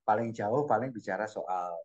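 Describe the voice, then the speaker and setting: A middle-aged man from Indonesia, chatting as a guest about his life abroad in an animated way, often repeating phrases while he searches for words. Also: on the low side, moderate pace, lo-fi sound. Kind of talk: casual storytelling chat.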